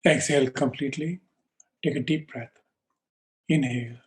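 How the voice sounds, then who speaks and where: A man teaching yoga and guiding a meditation in slow, calm, measured short phrases with pauses between them. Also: medium pitch. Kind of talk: slow guided meditation instruction.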